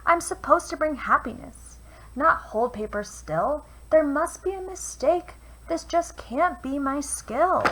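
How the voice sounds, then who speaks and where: An earnest, good-hearted little stone from a picture book speaks through a woman reading aloud. The voice is high, bright and emphatic, over faint noise. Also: expressive, measured pace, full character voice. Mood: dismayed, earnest. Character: cheerful, earnest little gray stone wanting to help. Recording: noisy home recording.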